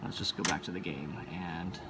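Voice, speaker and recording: A man's voice: deep voice, fairly even intonation, moderate pace, very noisy. A man giving casual, unscripted hands-on commentary, rambling a little as he thinks aloud while presenting as a hobbyist. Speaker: arcade machine hobbyist presenter.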